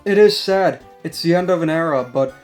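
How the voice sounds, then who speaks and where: High-voiced man hosting a casual chat. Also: a little fast, a little mellow, faint music behind. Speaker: host of a gaming discussion. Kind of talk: casual discussion.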